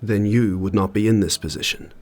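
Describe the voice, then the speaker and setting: An actor playing an ancient, solemn warrior spirit in an audio drama, speaking in a measured, grave and formal way. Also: male voice, natural voice. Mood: solemn, regretful. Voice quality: low, husky.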